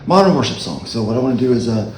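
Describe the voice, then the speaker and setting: A man with a low voice giving a relaxed, halting dulcimer lesson as a worship leader. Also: roomy sound, slight background noise. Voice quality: low speaking voice. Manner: unhurried, halting explanation. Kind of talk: casual music tutorial.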